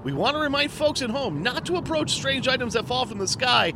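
A man plays a high-voiced TV field reporter with a lively, swooping delivery. He reports live from a city street, and traffic noise plainly fills the background. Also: a little fast. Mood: urgent. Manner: live on-scene reporting, stumbles when surprised. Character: excitable male field news reporter on location. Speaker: TV news reporter. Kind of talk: audio drama acting. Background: traffic and city ambience.

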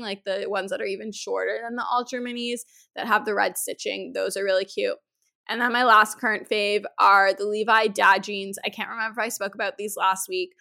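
A woman from Canada hosting a podcast in casual chat, hoarse from a head cold, chatty and full of "like". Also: mid-range voice, sharp tone. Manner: chatty, uses "like" often. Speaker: podcast host.

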